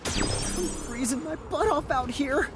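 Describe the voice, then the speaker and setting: A woman's voice: high voice, slow. A woman voicing a goofy, laid-back teenage adventurer in a video game, drawing out the words. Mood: goofy, relieved. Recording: loud game music over voice.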